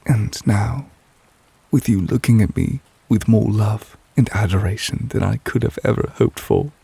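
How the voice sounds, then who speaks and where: A man playing a devoted, tender but possessive lover in a romantic ASMR roleplay, speaking softly and intimately in a measured, wistful way, close to the microphone. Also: fairly low pitch, no echo, exaggerated voice. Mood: nervous, tender. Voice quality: soft, breathy, husky. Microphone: close, dry microphone.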